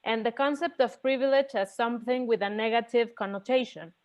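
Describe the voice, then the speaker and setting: A woman's voice: fairly high pitch, fairly quick, darkish tone. Hesitant woman with a Mexican accent. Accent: Mexican Spanish. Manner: careful, hesitant, many fillers. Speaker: audience member asking a question. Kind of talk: asking a question in an online panel. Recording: dull, muffled video-call audio.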